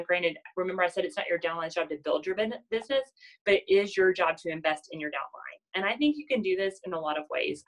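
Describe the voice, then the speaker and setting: A woman's voice: fairly low pitch, a bit bright, rough recording. A woman in her thirties with a nasal voice, giving a casual motivational talk to her sales team and often asking "does that make sense?". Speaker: network marketing team leader.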